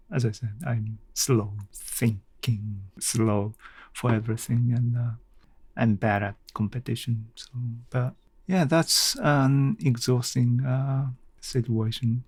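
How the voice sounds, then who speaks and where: A man's voice: medium pitch, steady tone, takes it slowly, a little mellow, husky. A man hosting a solo podcast, reflecting unscripted in simple phrasing and searching for his words. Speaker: podcast host.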